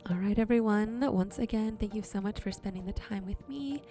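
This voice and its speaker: A woman doing casual, soft-spoken ASMR commentary while playing a game, murmuring to viewers in an unhurried way. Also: mid-range voice. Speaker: video creator and host. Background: music.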